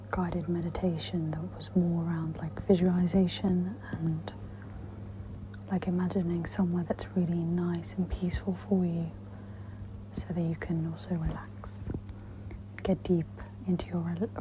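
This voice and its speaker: A woman speaks in a whispery, breathy voice, gently guiding a meditation in a soft-spoken ASMR style. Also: fairly low pitch, flat delivery. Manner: slow, gentle, instructional. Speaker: host of guided meditation ASMR. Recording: dull lo-fi with audible background noise.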